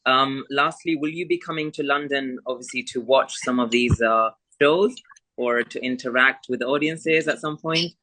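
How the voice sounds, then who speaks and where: A man's voice: medium pitch, normal speed, bright, forceful tone, a little hiss or hum, rough recording. A man who grew up in Spain, interviewing guests in a friendly, enthusiastic way with plenty of fillers. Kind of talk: casual interview.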